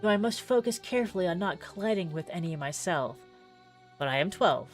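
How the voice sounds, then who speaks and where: A woman giving a dramatic, deliberate read-aloud of narrative game text, pausing for effect. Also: fairly low pitch, animated. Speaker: streamer playing a visual novel demo. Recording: music playing under the voice.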